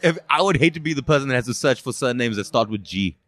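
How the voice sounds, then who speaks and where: A man's voice: mid-range voice, animated, fast talker, fairly bright tone, dry recording. A South African man hosting a podcast, chatting casually and teasingly with a guest, often repeating words and answers. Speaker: podcast host. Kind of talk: casual vox-pop interview. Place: pre-party at a sports-match event. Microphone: handheld or table podcast microphone with headphones.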